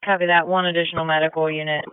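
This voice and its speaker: High-voiced male radio dispatcher. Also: little pitch movement, racing, smooth, no echo. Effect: two-way radio. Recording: muffled band-limited radio traffic recording.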